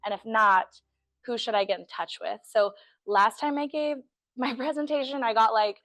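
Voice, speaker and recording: A woman, an estate planning attorney giving a friendly educational talk, a little hoarse from allergies. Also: on the high side, normal speed, fairly bright tone, dry recording. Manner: friendly, self-deprecating, chatty with fillers. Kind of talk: educational presentation to a group. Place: meeting room.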